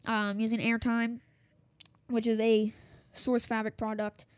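A woman's voice: a little high, completely flat delivery, unhurried, clear, resonant tone, dry recording. A woman hosting a small radio news show from her home office, chatting casually with lots of fillers and restarts while she is losing her voice. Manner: chatty, self-interrupting, many fillers and restarts. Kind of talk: casual rambling radio chat. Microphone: desktop setup with small soundboard.

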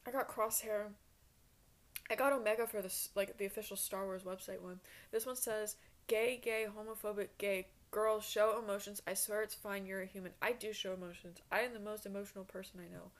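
A woman's voice: mid-range voice, fairly even intonation, brisk. A woman in her twenties hosting a solo podcast in a rambling, casual chat, blunt and self-deprecating, swearing freely. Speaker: podcast host.

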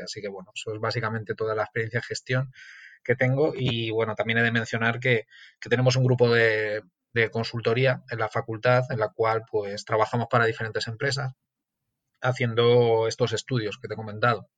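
Spanish man, interview guest, flat measured talk, dull dry remote audio. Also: medium pitch, dry recording. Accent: Spanish (Castilian). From Castilla-La Mancha, Spain. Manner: thoughtful, many fillers and restarts. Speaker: guest, sports management lecturer. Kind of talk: conversational interview answers.

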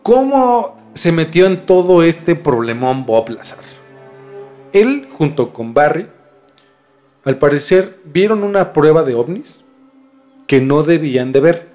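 Higher-voiced Mexican man, casually explaining. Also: sing-song, slow, pauses often, mellow, soft background music. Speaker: podcast co-host explaining a case.

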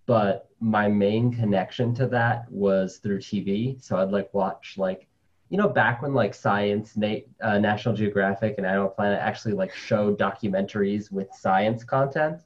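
Chatty lower-voiced man, muffled call audio. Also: moderate pace. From Colombia, raised in New York City. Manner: chatty, with many "like" fillers, laughs easily. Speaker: interview guest, spider scientist. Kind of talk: casual conversational interview.

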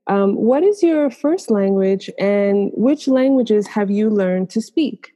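A woman hosts a podcast with a mellow, warm voice. She reads her intro smoothly and then asks her guest questions in a friendly, upbeat way. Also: mid-range voice, lively intonation, slow. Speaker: podcast host. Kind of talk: scripted intro, then interview.

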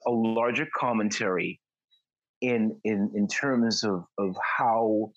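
A man's voice: lowish voice, fairly even intonation, takes it slowly, no room sound, highs cut off. A middle-aged man in casual chat, who halts and repeats words as he searches for his phrasing. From Trinidad.

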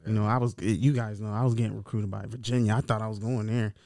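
A man in his thirties co-hosting a podcast, talking in a relaxed, reflective way and swearing freely. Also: fairly low pitch, fast, vocal fry, no room sound, clean studio sound. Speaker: podcast co-host. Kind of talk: casual chat.

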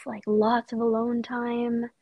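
A woman guest on a podcast who has a cold, speaking softly and casually with a husky, gravelly voice. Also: a little high, monotone, no echo, dull audio. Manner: slow, soft-spoken, trailing off.

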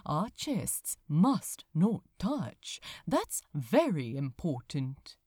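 A woman voicing a refined, mischievous gentleman who teases with prim mock propriety in a storybook reading. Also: low voice, big pitch swings, husky, dry recording, clean studio sound, voice pushed down, heavily acted. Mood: playfully prim. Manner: prim, mock-proper, deliberate innocence. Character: refined, mischievous gentleman, teasing dance tutor.

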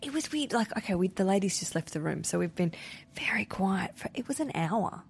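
A woman's voice: medium pitch, fairly quick, vocal fry, husky. Chatty Australian woman podcast host. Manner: chatty, jokey and lively. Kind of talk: casual chat.

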